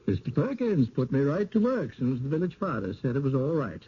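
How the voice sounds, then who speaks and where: An actor playing a fearful rural village handyman in a radio drama, with a hesitant, folksy rural American drawl and a gravelly, husky voice. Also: mid-range voice, sing-song, fairly quick, mellow. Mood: matter-of-fact. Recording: dull, muffled old radio recording.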